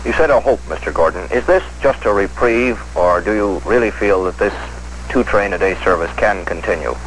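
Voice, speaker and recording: A male reporter asks questions in a fairly low voice. His manner is formal and pointed, in the style of a news interview. The vintage film sound is faintly noisy. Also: brisk.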